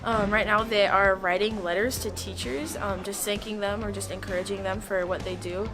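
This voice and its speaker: A woman's voice: mid-range voice, fairly even intonation, moderate pace. Teenage girl talking over music. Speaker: student leader. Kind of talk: informal testimonial talk.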